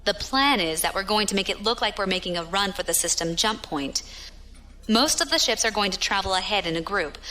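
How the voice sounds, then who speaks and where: A woman's voice: lowish voice, sharp tone, a little hiss or hum. A woman playing a tough, dryly humorous space pirate who talks informally in a science fiction audio drama. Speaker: voice actor.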